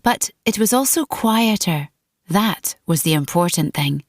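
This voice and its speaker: A woman reading dramatic fiction as an audiobook narrator, in a measured, deliberate storytelling manner. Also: on the low side, lively intonation, dry recording, studio quality.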